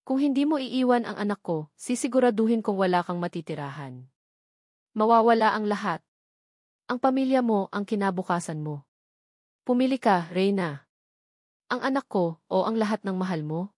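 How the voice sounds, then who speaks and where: A woman's voice: medium pitch, expressive, normal speed, long pauses, darkish tone, no room sound, natural voice. Stern, controlling older patriarch for an audio love story, read by a woman, menacing and suspicious, very dry recording. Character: stern, threatening wealthy father, older man.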